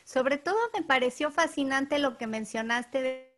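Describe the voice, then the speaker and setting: A woman with a fairly high voice hosts the conversation. She talks expressively and animatedly, reacting and asking follow-up questions. Her tone stays conversational and engaged. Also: normal speed, fairly bright tone. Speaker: interviewer and program host. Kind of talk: enthusiastic interview conversation.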